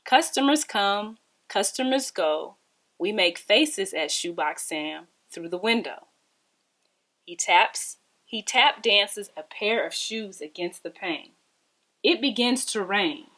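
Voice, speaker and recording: A woman reading a children's story aloud in an expressive, deliberate storytelling style. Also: medium pitch. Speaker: read-aloud storybook reader.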